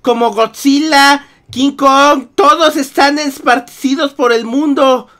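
Male gaming host, extremely high bright pitch, exclamatory and urgent, repetitive improvised roleplay chat. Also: fairly even intonation, slow. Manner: exclamatory, repeats phrases urgently. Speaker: gaming video host and player.

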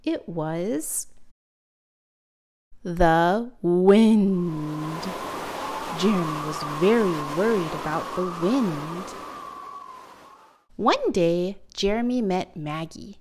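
A woman narrating a picture book for young children with deliberate, dramatic emphasis. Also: fairly low pitch, animated, darkish tone. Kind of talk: expressive read-aloud for young children.

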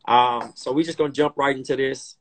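A man in his thirties hosts a casual chat from a dim room, speaking into a phone on a live stream. His delivery is relaxed and loose, full of small restarts and repeated words. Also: medium pitch, a little fast. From Compton, California. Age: 30s. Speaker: podcast host. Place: dim room indoors, on a live video call. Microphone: phone camera, split-screen live stream.

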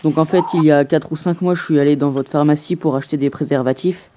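A man making a prank phone call, posing as an aggrieved customer and complaining in a halting way. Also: a little high, fairly even intonation, background noise. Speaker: prank caller.

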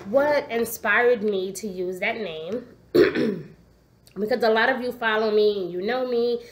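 A congested, hoarse woman in her forties, telling her story in a chatty way to a laptop webcam at home. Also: medium pitch. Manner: chatty, animated, gestures a lot, asks "okay?" often. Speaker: vlogger. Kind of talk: casual personal storytelling to camera. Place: living room at home.

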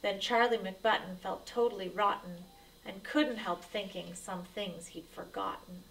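A woman reads a rhyming picture book aloud for children. Her delivery is dramatic and emphatic, at a medium pitch. She sounds a little distant from the microphone. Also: fairly even intonation, measured pace, vocal fry, fairly bright tone, slight background noise. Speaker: read-aloud storyteller.

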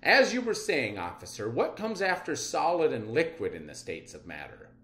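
A man's voice: medium pitch, wildly swooping pitch, moderate pace, a bit bright, echoey room. Man as a bossy, swooping comic-book police captain. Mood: stern. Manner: commanding, impatient.

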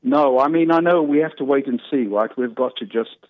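A man's voice: medium pitch, a little fast, no room sound. A man with a New Zealand accent calling in to a show, chatting earnestly in a hesitant, repetitive way. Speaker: phone-in caller. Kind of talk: casual, earnest chat. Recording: tinny, narrow phone line.

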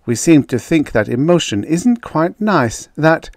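A man voicing a quick-tempered woman academic who argues and lectures with emphatic conviction. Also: a little high, sing-song, darkish tone, dry recording, studio quality, pitched above the performer's own voice. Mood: earnest lecturing.

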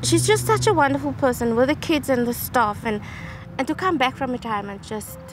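A woman's voice: high-pitched, lively intonation, a little fast, over music. A middle-aged woman with a South African accent, a teacher, speaking fondly and earnestly about a colleague. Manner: warm praise, fond and earnest. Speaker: teacher, colleague who sent the wish. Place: outdoors on open ground, then on a phone.

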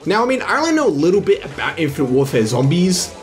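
A young man giving casual, excited, slangy live reaction commentary as a video host. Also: on the high side, fast, faint music behind. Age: young adult.

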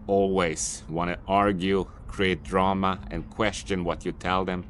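A man's voice: low-pitched, fairly bright tone, a little hiss or hum. A Swedish man who speaks English as a second language, giving unscripted, somewhat repetitive and mildly annoyed advice while filming on a city street. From Sweden. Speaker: vlogger, business owner. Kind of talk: unscripted vlog monologue.

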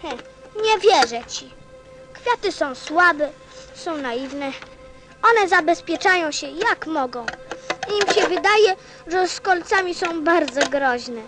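Tiny earnest boy prince, really high childlike voice, radio play w faint music. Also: expressive, slow pace, heavily acted. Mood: curious, earnest. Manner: childlike, insistent questioning. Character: small earnest, sensitive little prince boy from another planet.

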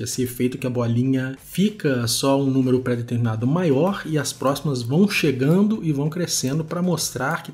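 Mellow Brazilian man presenting over music. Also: medium pitch. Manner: informal, conversational, demonstrating as he goes. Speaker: host of a web development video show. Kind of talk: casual screencast commentary. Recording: music behind the voice. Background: background music.

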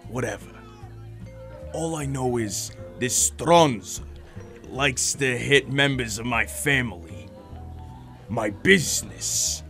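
An actor playing a self-assured, menacing mob boss in a radio noir drama, speaking with deliberate threat. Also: male voice, medium pitch, bright, forceful tone, faint music behind. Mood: smooth menace.

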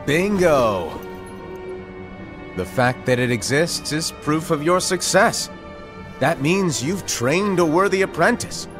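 Mischievous hooded master for a video game, slowish, theatrical and teasing, music behind. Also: male voice, sits high, full character voice. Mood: mock celebrating. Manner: theatrical, teasing, drawn-out wordy delivery. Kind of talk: animated game cutscene dialogue.

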